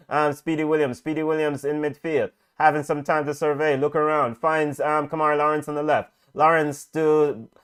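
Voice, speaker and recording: A middle-aged man commentates on a football match as it happens. His voice sits a little high for a man, and he goes through the play-by-play haltingly, repeating phrases. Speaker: football watch-along commentator. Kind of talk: live football commentary with casual chat.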